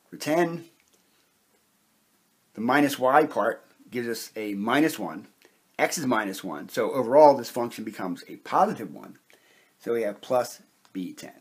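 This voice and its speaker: Guy teaching chemistry step by step, bit husky, medium pitch, methodical. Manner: methodical, explanatory. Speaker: chemistry instructor. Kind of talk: step-by-step whiteboard explanation.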